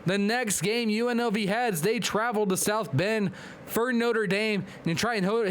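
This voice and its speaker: Male stream host, high voice, excitable play-by-play caller, hoarse and congested. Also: a little hiss or hum. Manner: excitable, hyped play-by-play with quick asides to chat. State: losing his voice, nose clogged. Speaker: league commentator and stream host. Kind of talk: live-streamed play-by-play commentary.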